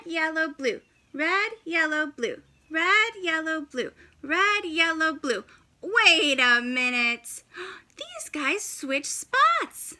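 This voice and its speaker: A woman in her thirties doing an animated, exclaiming, encouraging read-aloud of a children's picture book. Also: high-pitched. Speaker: teacher reading story time for children. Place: indoors, in front of a fabric backdrop.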